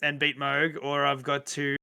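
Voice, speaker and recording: A man co-hosting a podcast, chatting in a relaxed way and laughing often. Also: medium pitch, moderate pace, vocal fry, smooth. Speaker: podcast co-host. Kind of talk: casual chat.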